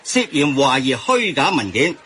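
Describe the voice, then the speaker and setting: A man's voice: mid-range voice, big pitch swings, unhurried, pushed and bright, husky, exaggerated voice. A man with a Hong Kong accent acting a well-informed friend who patiently explains news in a radio drama. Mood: explanatory.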